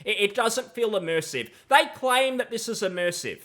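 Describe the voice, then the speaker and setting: A man's voice: sits high, lively intonation, moderate pace, bright, forceful tone. A young man giving critical commentary on a video game in a sardonic, emphatic manner. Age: young adult. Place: small room at home, indoors. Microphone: close desk microphone with headset.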